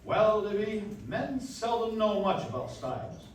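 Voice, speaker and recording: A man's voice: high-pitched, big pitch swings, a little slow, a little hiss or hum. A man playing a polished, genial 1940s radio announcer in a live stage radio play, with formal, projected delivery. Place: live stage performance in a dance hall. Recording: echoey hall, distant mic, live stage.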